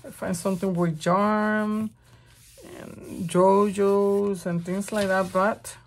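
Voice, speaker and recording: Raspy-voiced woman with a cold. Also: on the low side. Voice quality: rough, raspy voice. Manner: slow, chatty, thinking aloud while working. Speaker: crafting channel host. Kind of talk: casual craft tutorial talk.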